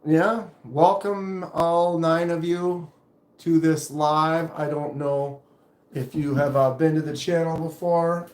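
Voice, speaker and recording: A man with a deep voice hosting a casual live stream, rambling loosely and reading viewer comments aloud. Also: slow pace, roomy sound. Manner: relaxed, rambling, reads chat aloud. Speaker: knife channel live streamer.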